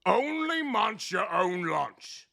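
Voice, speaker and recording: Storybook young shark: boisterous, very gravelly, high-pitched, big drawn-out exclamations. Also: male voice, sing-song, pushed and bright, heavily acted. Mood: earnest. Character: young male shark, boisterous, over-excited, friendly schoolkid. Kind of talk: children's storybook read aloud.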